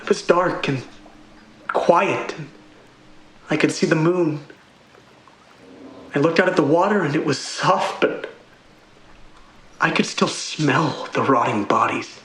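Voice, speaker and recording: A man playing a haunted young musician accused of murder, pleading and halting in an audio drama. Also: a little high. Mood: haunted, dazed. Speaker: radio interview caller. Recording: echoey, with loud music behind. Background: loud music.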